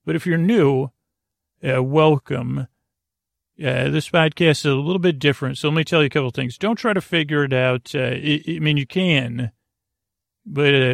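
A man hosting a sleep podcast in a creaky voice, delivering a soothing, meandering bedtime monologue that halts and restarts mid-phrase. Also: mid-range voice, no room sound, studio quality. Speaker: podcast host.

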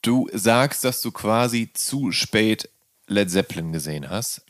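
A man's voice: lowish voice, lively intonation, measured pace, no echo, clean studio sound. A man hosting a casual conversational interview, speaking informally and often correcting himself as he goes. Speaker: interviewer, host.

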